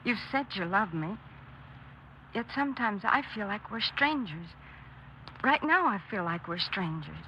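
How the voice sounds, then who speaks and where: A woman's voice: mid-range voice, animated, a little slow, long pauses, pushed and bright. An actress playing an earnest, troubled young girlfriend in a 1950s radio drama, speaking hesitantly. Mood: hurt, anxious. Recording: muffled 1950s radio broadcast, faint noise.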